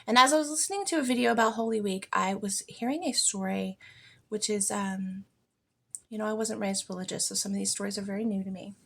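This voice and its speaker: Creaky-voiced American woman, earnest and conversational. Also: mid-range voice, fairly quick. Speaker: podcast and video host. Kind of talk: informal reflective spiritual monologue.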